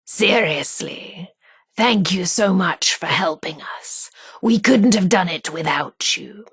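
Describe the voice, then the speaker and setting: An actress with a husky, breathy voice, playing a shy but sassy, lovestruck radio DJ in a video game. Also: female voice, on the low side, dry recording, clean studio sound, full character voice. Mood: earnest. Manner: informal, slangy, hesitant about feelings. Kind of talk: video game character dialogue.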